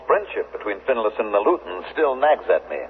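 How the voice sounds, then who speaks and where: A man's voice: medium pitch, big pitch swings, brisk, gravelly. A man acting in a 1970s radio drama as a coolly analytical undercover agent of a future regime, with a formal, probing manner. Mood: curious, probing. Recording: muffled, hissy, echoing 1970s radio recording.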